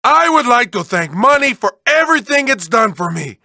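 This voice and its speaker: Manic game villain: whiny mad scientist, shrill and piercing, wildly swooping, hammy. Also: male voice, very animated, normal speed, bright, forceful tone, no echo, studio quality, heavily acted. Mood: theatrically gushing. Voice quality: high-pitched, shrill. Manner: theatrical, hammy, erratic outbursts. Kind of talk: comic video game character voice acting.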